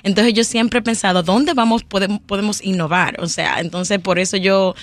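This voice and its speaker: A woman's voice: medium pitch, steady tone, moderate pace. A woman musician describing her album in an interview, thoughtful and hesitant, with many fillers and some English mixed in. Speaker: musician guest. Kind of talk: interview guest.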